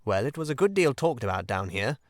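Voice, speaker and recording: A man voicing an earnest, excitable water rat in a storybook, speaking in an emphatic and deliberate manner. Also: medium pitch, no echo, studio quality, lightly acted. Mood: grave, confiding. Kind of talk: audiobook character acting.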